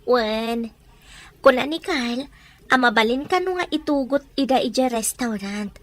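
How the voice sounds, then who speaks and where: A woman playing a devoted, gentle older sister in a radio-style drama. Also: fairly high pitch, measured pace, natural voice. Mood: gently instructive. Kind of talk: Ilocano radio drama acting.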